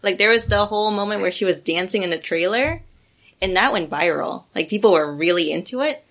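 A woman's voice: mid-range voice, animated, a little fast, a bit bright. A woman on a casual podcast who chats in a giggly, self-deprecating way.

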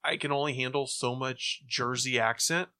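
A man's voice: medium pitch, fairly even intonation, moderate pace, sharp tone, smooth. A middle-aged man chatting casually as a podcast co-host. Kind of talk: casual chat.

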